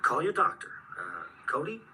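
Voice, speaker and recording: An actor in a radio drama playing a sceptical, level-headed man who reasons haltingly and urgently with a frightened friend. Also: on the high side, wildly swooping pitch, pushed and bright, recorded from a distance, exaggerated voice. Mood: grimly practical. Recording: noisy radio drama, off the mic.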